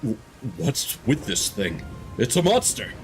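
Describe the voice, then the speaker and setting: A man's voice: fairly low pitch, huge pitch swings, measured pace, soft background music, poor audio. A man voicing a timid, anxious little village child in video game dialogue. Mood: worried.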